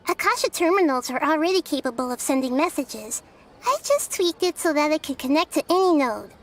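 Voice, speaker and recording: A woman voicing a small, gentle, clever young goddess who explains things calmly and patiently, in video game cutscene dialogue. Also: high voice, a little fast. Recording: game audio with music behind.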